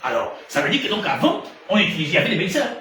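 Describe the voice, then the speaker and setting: Preacher man, echoey and distant. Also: racing. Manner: animated, rising to loud emphatic peaks then dropping low. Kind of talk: sermon. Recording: echoey room from far off, noisy ambience.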